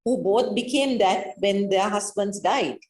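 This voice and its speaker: A woman's voice: medium pitch, a little slow, a little mellow. A woman with a Sri Lankan accent, answering as an interview guest in an earnest, encouraging, conversational way. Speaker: interview guest, business leader. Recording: echoey, roomy sound.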